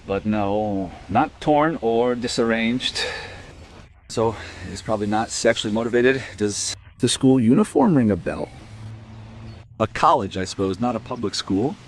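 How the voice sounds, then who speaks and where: An actor playing a matter-of-fact police sergeant in a crime radio play, deliberate and analytical. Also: male voice, mid-range voice, animated, slight background noise, natural voice. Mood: analytical, sober.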